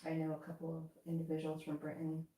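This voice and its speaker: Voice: medium pitch, completely flat delivery, fast, very noisy. A person, chatting casually and curiously asking lots of questions. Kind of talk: casual chat. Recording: echoey room.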